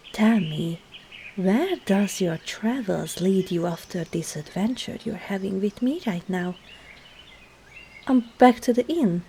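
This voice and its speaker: Woman playing a soft, creaky, coaxing witch. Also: medium pitch, a little hiss or hum. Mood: curious. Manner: soft, breathy, often whispering, coaxing. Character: sly, coaxing female witch herbalist with potions. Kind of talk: ASMR roleplay.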